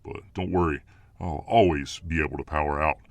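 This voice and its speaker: A very low-pitched muscleman fireman voiced by a male actor for an ASMR audio drama. He is dominant and boastful, with a cocky swagger. He is protective of his lover and quick to bristle. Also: fairly even intonation, no room sound. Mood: challenging, swaggering. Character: dominant muscular alpha fireman boyfriend, boastful, protective, hot-tempered.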